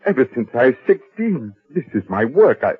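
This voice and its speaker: Raspy, stammering old newspaperman. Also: mid-range voice, big pitch swings, moderate pace, dry recording. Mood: desperate pleading. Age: older. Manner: stammering, pleading, hesitant. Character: timid, devoted old newspaper columnist being fired. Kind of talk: old-time American radio drama acting. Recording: tinny, muffled old radio recording.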